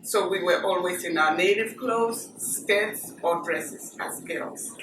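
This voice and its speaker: A Ghanaian woman with a low voice tells her story in a measured, unhurried way, often pausing on fillers. She sits some way from the mic. The room is echoey, and steady noise runs underneath her voice. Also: far from the mic. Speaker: interviewee. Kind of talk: oral history interview.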